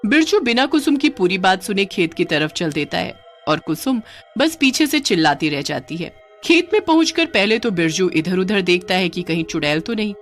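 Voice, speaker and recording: A woman's voice: medium pitch, very animated, moderate pace, soft background music. A woman narrating a scripted story as a storyteller.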